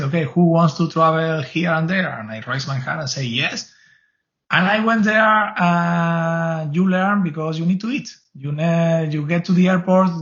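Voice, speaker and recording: A man's voice: fairly high pitch, fairly bright tone, highs cut off. Argentine man, earnest rambling English. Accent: Argentine Spanish. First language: Spanish. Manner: earnest, rambling, frequent restarts. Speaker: guest, cloud advocate. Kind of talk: casual interview answers.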